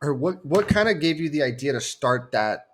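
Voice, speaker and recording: A man's voice: on the high side, animated, normal speed, a bit bright, a little hiss or hum. A man hosting a podcast, asking a guest casual questions with filler words. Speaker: podcast host. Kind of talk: interviewing a guest.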